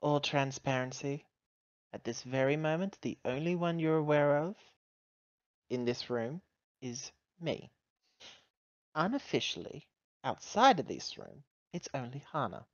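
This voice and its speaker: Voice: medium pitch, big pitch swings, unhurried, pauses often, no room sound, highs cut off. A player voicing a guarded, businesslike female synthetic agent in a tabletop game, with clipped, matter-of-fact delivery. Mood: guarded, resigned.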